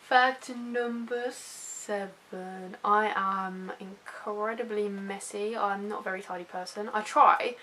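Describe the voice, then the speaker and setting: A woman's voice: mid-range voice, steady tone, slow, few pauses, pushed and bright, a little hiss or hum, rough recording. A young woman with a British accent chatting casually and informally in a personal vlog, rambling a little and poking fun at herself. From United Kingdom. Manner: informal, rambling, self-deprecating. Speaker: YouTube vlogger. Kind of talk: casual personal chat to camera.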